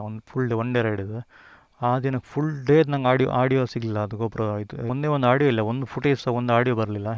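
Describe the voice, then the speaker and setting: Male motovlogger, rapid rambling delivery, dry close camera-mic sound. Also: medium pitch, clear, resonant tone, no room sound. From India. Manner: rambling, self-correcting, conversational. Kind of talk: casual gear walkthrough.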